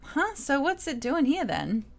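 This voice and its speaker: Woman putting on a brash, high-pitched young girl thief. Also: sing-song, measured pace, vocal fry, full character voice. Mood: curious, bold. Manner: exclaiming, brash slang.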